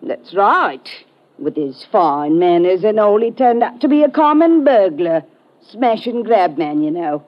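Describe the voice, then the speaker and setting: A woman's voice: medium pitch, very animated, unhurried. Older London landlady: folksy, rambling Cockney gin-sipper for vintage radio drama, muffled lo-fi recording. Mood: gossipy. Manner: folksy, rambling, calls people dearie. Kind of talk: old-time radio drama acting.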